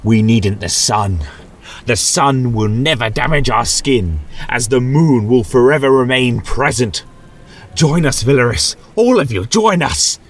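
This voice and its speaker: Fantasy audio drama: man playing a bestial male vampire, raised pitch, husky, proud and grandiose, bent on revenge. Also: animated, measured pace. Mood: defiant zeal. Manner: grandiose, declamatory.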